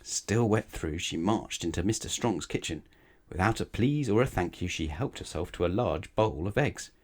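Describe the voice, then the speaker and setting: A man reading a children's bedtime story aloud in an expressive storytelling style. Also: low-pitched, normal speed. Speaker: storybook reader.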